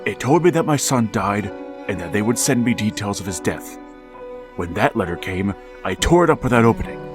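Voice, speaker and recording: A man's voice: fairly low pitch, lively intonation, brisk, lightly acted. An actor playing a grieving, middle-aged father who narrates and pleads desperately to reach his dead son, in a vintage-style radio drama. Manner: anguished, pleading, rising to frantic outbursts. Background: music.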